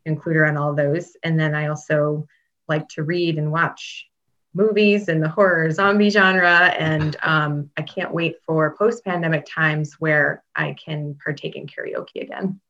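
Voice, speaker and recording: A woman's voice: low voice, fairly even intonation, unhurried, fairly bright tone. A woman from Chicago, a public health veterinarian answering interview questions in a friendly, casual way with many ums. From Chicago, Illinois. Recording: dull lo-fi sound.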